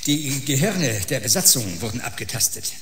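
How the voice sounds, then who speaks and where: A man's voice: mid-range voice, big pitch swings, normal speed, fairly bright tone. An actor playing an eager, ruthless male researcher in an audio drama, insistent and cold-blooded. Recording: echoey space, faint ambient noise.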